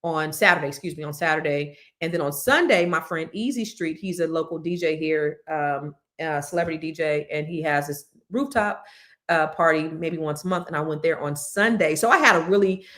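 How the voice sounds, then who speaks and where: A woman hosting a live show, chatting excitedly to viewers; her voice is deeper than usual from cigar smoke. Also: a little fast. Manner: chatty, excitable storytelling with many fillers. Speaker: host of a live money and news show. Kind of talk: casual chat to viewers.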